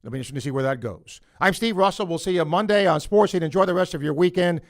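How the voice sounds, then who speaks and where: A man's voice: high-pitched, racing. A man hosting a late-night call-in sports radio show, talking loosely with fillers and restarts. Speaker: radio sports talk host.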